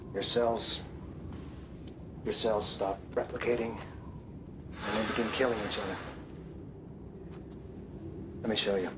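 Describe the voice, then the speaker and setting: An actor, playing a weary, grave field doctor in a game drama, explaining in a measured, halting way. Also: male voice, mid-range voice, background noise, close to an everyday voice. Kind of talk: film drama acting.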